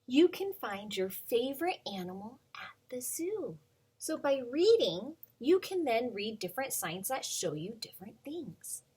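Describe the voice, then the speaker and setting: A woman in her thirties, a preschool teacher, reading a picture book aloud to children in a gentle, sing-song way with many ums. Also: fairly high pitch, sing-song, unhurried, husky.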